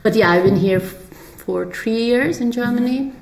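A woman telling personal stories in an interview in a halting, informal way, as if thinking aloud. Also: on the high side, vocal fry. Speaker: peace activist interviewee. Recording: roomy, echoing interview recording.

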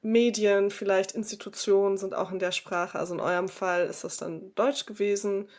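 A woman's voice: medium pitch, fairly even intonation, moderate pace, a little mellow. A woman in her thirties hosting a casual podcast chat, explaining patiently and giving examples in a lecturing way. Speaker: podcast host, speaker on multilingual upbringing.

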